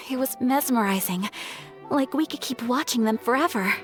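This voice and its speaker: Casting: actress for a polite, softly noble young game heroine, slightly high voice, light music underneath. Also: female voice, normal speed, close to an everyday voice. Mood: serene. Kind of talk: video game voice acting.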